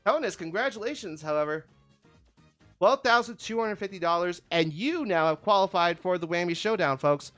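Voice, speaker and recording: Brief: male game show host, congested, showy patter, smooth clear voice, dry close sound. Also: high voice, animated, a little fast, no room sound, soft background music. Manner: showy announcer patter, calls out totals and ladies and gentlemen. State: congested from a summer cold. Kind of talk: hosting an online game show.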